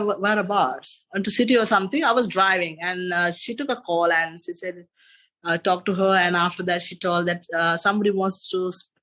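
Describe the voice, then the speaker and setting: A man's voice: high voice, bright, forceful tone. A man in his thirties with a Sri Lankan accent, a podcast guest telling his story in a casual, rambling way, often saying "and after that". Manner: rambling, repeats "and after that" and "you know". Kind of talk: casual interview chat.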